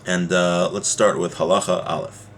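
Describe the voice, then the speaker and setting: Male religious teacher, fairly deep voice, explaining in plain informal terms, slight noise behind him. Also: little pitch movement, moderate pace, fairly bright tone. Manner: explanatory, with everyday examples. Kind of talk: informal religious text lesson, reading and explaining.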